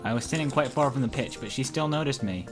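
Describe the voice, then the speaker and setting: A man's voice: medium pitch, fast talker, faint music behind. A man playfully narrating a visual novel aloud for a gaming video.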